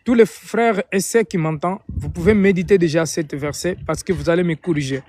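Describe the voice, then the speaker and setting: A man's voice: high-pitched, brisk, darkish tone, a little hiss or hum. A man from Angola with an Angolan Portuguese accent, a native Portuguese speaker, preaching and praying informally on a live stream, often repeating and rephrasing his phrases.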